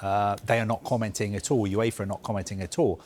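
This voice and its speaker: A man with a fairly low voice walks through a football news story for a live broadcast. He speaks in a measured, explanatory way and often pauses on "uh" and "um" while he lays out the details. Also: little pitch movement, darkish tone.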